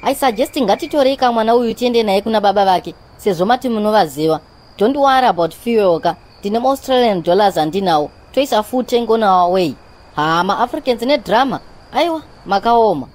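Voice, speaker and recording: A woman's voice: medium pitch, lively intonation, brisk, darkish tone, lightly acted. A woman voicing an exasperated, well-off young Zimbabwean peacemaker who remonstrates in a comedy cartoon. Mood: placating, exasperated. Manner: remonstrating, mixing in English phrases.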